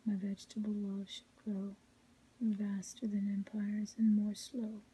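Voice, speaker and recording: A woman softly reading poetry in a breathy whisper, ASMR style, with a measured, melodic recitation. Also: medium pitch, monotone, rough recording. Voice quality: soft unvoiced whisper, breathy.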